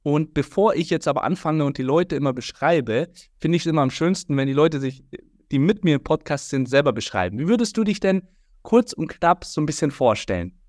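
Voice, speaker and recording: A man's voice: a little high, expressive, a little fast, a little mellow, no echo, clean studio sound. A man hosting a podcast interview, talking in a friendly, rambling way with many fillers. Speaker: podcast host. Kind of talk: casual interview chat.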